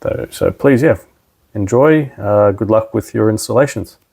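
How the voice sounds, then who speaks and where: A man's voice: lowish voice, measured pace, vocal fry, darkish tone. A man presenting a casual technical tutorial, explaining things informally step by step. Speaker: presenter, installer trainer.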